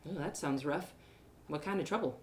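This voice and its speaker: A woman voicing an earnest, awkward and excitable young woman adventurer in an audio story. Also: low-pitched, moderate pace, slight background noise, pitched below the performer's own voice, natural voice. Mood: curious, awkward. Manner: hesitant, rambling, emotional.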